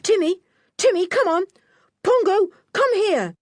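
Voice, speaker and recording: A woman doing a plucky, shouting boy. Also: very high voice, animated, unhurried, no room sound, studio quality, pitched above the performer's own voice. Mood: urgent.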